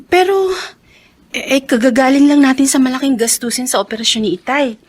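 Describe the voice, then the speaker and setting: A gentle, husky, caring wife. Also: female voice, sits high, fairly quick. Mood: concerned, agreeable. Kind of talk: Filipino radio drama acting.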